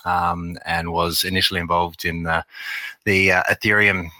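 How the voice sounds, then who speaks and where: A man's voice: deep voice, normal speed, no room sound. A man with an Australian accent, a marketing officer as a podcast guest, answering at length with many fillers. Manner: relaxed, rambling with many fillers.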